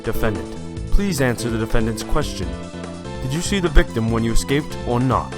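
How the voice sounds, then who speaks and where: A man acting as a stern judge presiding over an audio drama trial. He speaks in a mid-pitched, formal, commanding voice with music behind him. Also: animated, a little fast, natural voice. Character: stern, authoritative male courtroom judge.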